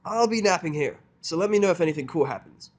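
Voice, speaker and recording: A man's voice: high-pitched, lively intonation, quick pace, pitched above the performer's own voice. A man voicing a brash, boastful young female flying pony in a fan fiction reading. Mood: cocky. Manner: boastful, eager.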